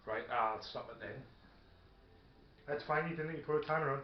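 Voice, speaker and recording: A man chatting casually and haltingly as he plays a tabletop wargame, thinking aloud. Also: medium pitch. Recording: roomy, dull, very noisy room recording. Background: radio playing in the background.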